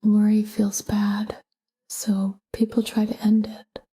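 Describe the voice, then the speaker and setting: Breathy, whispery woman guiding sleep. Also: medium pitch. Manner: calm, gentle, measured. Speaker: sleep meditation narrator. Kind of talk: scripted guided sleep meditation, soft spoken.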